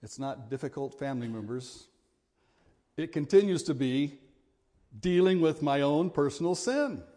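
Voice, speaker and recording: A middle-aged man with a big voice preaching a sermon, earnest and at times forceful in his exhortations. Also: fairly high pitch, animated, normal speed.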